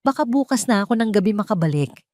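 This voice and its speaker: A woman's voice: mid-range voice, lively intonation, fast, a little mellow, no echo, clean studio sound, voice pushed up, close to an everyday voice. A woman with a Filipino accent voicing a deceitful husband who feigns tender concern in an audio drama. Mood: soothing, dismissive. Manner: solicitous, falsely caring.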